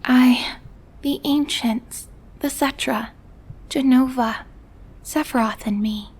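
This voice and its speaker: An actress playing a thoughtful, gentle young woman in a video game, earnest and inquisitive. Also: high-pitched, takes it slowly, slightly rough, heavily acted, poor audio. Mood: pensive. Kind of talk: dramatic video game acting.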